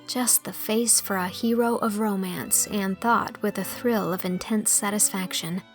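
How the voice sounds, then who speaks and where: A woman's voice: mid-range voice, steady tone, measured pace. A woman narrates a storybook in a mid-range voice, with music under her reading. The delivery is scripted narration, carried in full literary sentences. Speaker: audiobook narrator.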